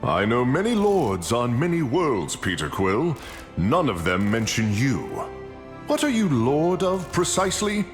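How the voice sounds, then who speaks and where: Proud loud norse god hero in a game, super animated, old-timey grand talk, big reverb, music behind. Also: male voice, mid-range voice, measured pace. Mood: teasing, proud. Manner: archaic, grandiose, theatrical phrasing. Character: boisterous proud Norse thunder god prince. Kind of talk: video game hero voice lines.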